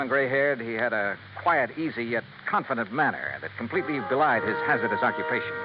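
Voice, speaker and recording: Male actor, dogged American radio detective, wry, brisk delivery, music behind, dull old recording. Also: mid-range voice, lightly acted. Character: male insurance investigator, dogged, wry, narrating his case. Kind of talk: 1950s radio detective acting and narration.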